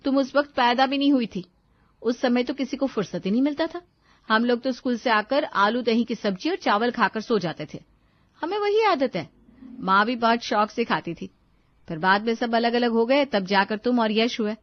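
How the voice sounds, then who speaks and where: A woman's voice: fairly high pitch, lively intonation, normal speed, dull audio, pitched above the performer's own voice, natural voice. A woman voicing a practical elder sister in a cartoon family story, lecturing and scolding in a matter-of-fact way. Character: practical elder daughter, scolding her fussy younger sister.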